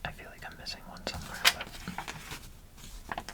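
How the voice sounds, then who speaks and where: A man whispering casual, rambling ASMR chatter with many fillers while building bricks, his voice slightly nasal. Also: normal speed. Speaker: ASMR creator.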